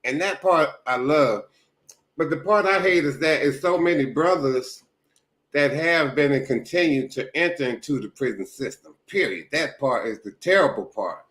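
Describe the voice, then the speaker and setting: A man in his sixties hosting a podcast, relaxed, appreciative and conversational in a casual interview. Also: a little high, normal speed. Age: 60s. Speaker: podcast host. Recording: roomy echo.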